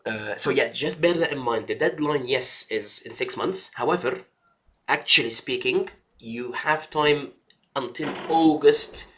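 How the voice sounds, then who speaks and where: A man's voice: mid-range voice, measured pace, a bit bright. A man mentoring a trainee doctor in casual talk, reassuring, checking in often with "yeah" and "okay". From Egypt. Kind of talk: casual advice chat.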